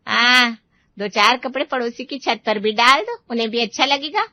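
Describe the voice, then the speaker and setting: A woman voicing a sharp-tongued, scheming older aunt in a cartoon, with a mocking, taunting way of talking. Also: a little high, lively intonation, normal speed, dry recording, muffled recording. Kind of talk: Hindi cartoon voice acting.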